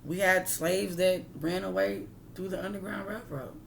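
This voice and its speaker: A woman's voice: on the low side, steady tone, moderate pace, creaky, slight background noise. A woman reading a picture book aloud like a teacher, expressively, with casual asides that explain and question her listeners.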